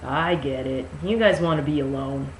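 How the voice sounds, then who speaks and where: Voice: medium pitch, normal speed, reverberant, a little hiss or hum, close to an everyday voice, rough recording. A reader voicing a creepy, clingy, eager young man in a mock-dramatic novel reading. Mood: hurt, playing cool.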